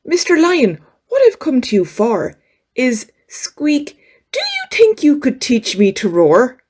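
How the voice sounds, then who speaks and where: A woman voicing a tiny, meek but eager male mouse in a children's story, with a small squeaky voice and a polite, earnest manner. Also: wildly swooping pitch, takes it slowly, darkish tone, husky, exaggerated voice. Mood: nervous, polite. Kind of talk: children's storybook character reading.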